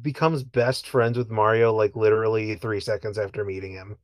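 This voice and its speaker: A man's voice: on the low side, steady tone, normal speed, no room sound, clean studio sound. A man co-hosting a podcast in casual movie chat, conversational and loose, peppering his sentences with filler words like "like". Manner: conversational, peppers talk with "like" and hedging. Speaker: podcast co-host.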